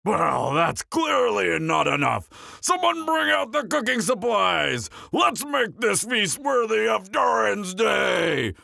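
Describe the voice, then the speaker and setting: A man voicing a boastful, bawdy male dwarf hunter with a gravelly voice, rowdy and loud. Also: high voice, big pitch swings, takes it slowly, few pauses, no room sound, studio quality, exaggerated voice. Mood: boisterous. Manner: rowdy, boastful, jokey, shouts often. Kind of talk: fan audio drama roleplay.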